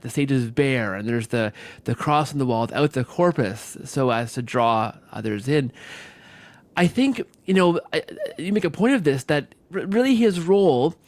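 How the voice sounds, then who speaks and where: A man's voice: a little high, dry recording. A man hosting a conversational podcast interview, circling and rephrasing his phrases as he builds a point. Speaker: podcast host.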